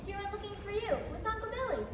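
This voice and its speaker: A woman's voice: extremely high pitch, expressive, quick pace. A young woman acting in a school radio play as a sweet, eager little child. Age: young adult. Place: school theatre stage. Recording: echoey stage, loud music behind.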